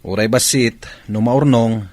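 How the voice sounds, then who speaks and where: A man's voice: medium pitch, a little slow, a little mellow, no room sound, lightly acted. A man playing a weary, defensive grown son and husband in an Ilocano audio drama. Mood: exasperated.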